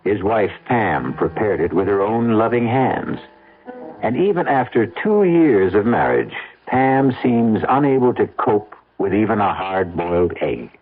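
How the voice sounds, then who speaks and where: A man narrating a radio mystery drama as scripted storytelling, measured and wry. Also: on the low side, sing-song, slightly rough. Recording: tinny old radio broadcast.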